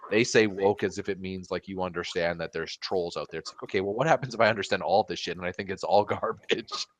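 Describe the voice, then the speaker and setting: Canadian dude in his twenties, really deep low voice, rambly and loud, talking into a headset at home. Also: fast, a little hiss or hum. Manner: rambling, loud, tipsy storytelling with restarts. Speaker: podcast co-host. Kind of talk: casual chat. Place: small room at home. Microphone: headset on a video call.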